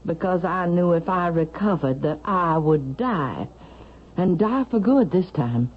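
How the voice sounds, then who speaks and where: A woman's voice: lowish voice, animated, a little slow, husky. An actress playing a troubled, stubborn mother in a 1970s radio drama. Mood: urgent, fearful. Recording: thin phone-like vintage radio sound.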